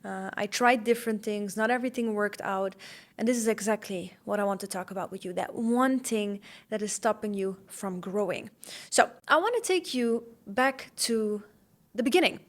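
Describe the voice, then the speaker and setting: A woman's voice: mid-range voice, moderate pace. A young woman with a slight Dutch accent, hosting her first podcast episode as a candid, slightly nervous solo talk with fillers. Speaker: podcast host.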